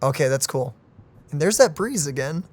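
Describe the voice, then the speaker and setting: A man co-hosting a gaming channel, reacting to a game in an excitable, joking way. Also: fairly high pitch, normal speed. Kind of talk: casual game reaction commentary. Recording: let's play audio over game music.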